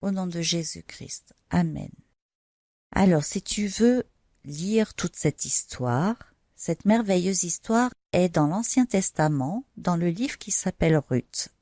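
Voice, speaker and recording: Woman, children's Bible storyteller, slightly low pitch, slow pace, didactic, direct address. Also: lively intonation, dark tone, no echo, studio quality.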